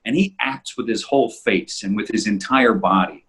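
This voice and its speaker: Husky low-voiced man chatting casually. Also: normal speed, fairly bright tone. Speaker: audio drama podcast co-creator. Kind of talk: casual discussion of their own work.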